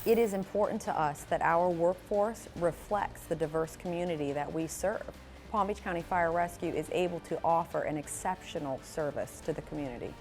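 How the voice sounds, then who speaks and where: A woman speaking for a fire rescue service in a promotional interview, earnest and steady. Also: on the low side, normal speed. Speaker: fire rescue recruitment spokeswoman. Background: soft music.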